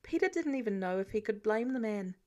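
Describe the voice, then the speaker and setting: A woman's voice: mid-range voice, expressive, brisk, darkish tone. A woman reading scripted story narration as an audiobook narrator. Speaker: fan fiction audiobook narrator.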